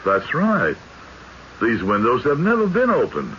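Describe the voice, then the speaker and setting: An actor playing an earnest, formal country judge who also narrates a radio mystery in a deliberate way. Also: male voice, mid-range voice, huge pitch swings, a bit bright. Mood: reasoning. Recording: noisy, muffled vintage radio broadcast.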